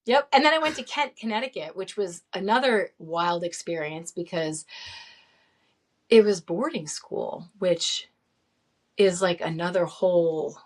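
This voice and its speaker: A woman's voice: on the low side, measured pace. A woman in her late forties, a guest in a casual interview, telling her story with frequent laughs, ums and restarts. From upstate New York, raised in Saudi Arabia.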